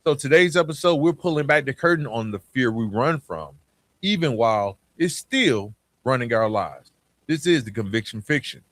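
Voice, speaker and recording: A man's voice: medium pitch, lively intonation, moderate pace, fairly bright tone, no room sound. Reflective male podcast host, dry close sound. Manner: reflective, emphatic, with rhetorical check-ins to the listener. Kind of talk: conversational motivational talk to listeners.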